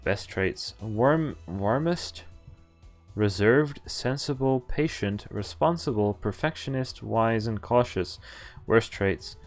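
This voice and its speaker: A man's voice: lowish voice, lively intonation, slow pace. A man streaming a visual novel, reading it aloud with casual, amused asides. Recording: background game music behind voice. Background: game music.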